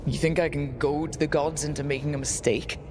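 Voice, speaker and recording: Actor as burdened squad leader, medium pitch, earnest, plain-spoken, subdued, game drama, noisy background rumble. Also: fairly even intonation, brisk, lightly acted. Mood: questioning, earnest. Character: earnest, burdened young team leader fighting the gods. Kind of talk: dramatic video game dialogue.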